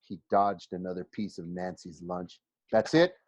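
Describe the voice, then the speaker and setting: A man reads a first-person story aloud in an expressive, conversational way, with dramatic emphasis and pauses. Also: deep voice. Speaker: teacher reading a novel aloud for a class. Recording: roomy, slightly dull home recording.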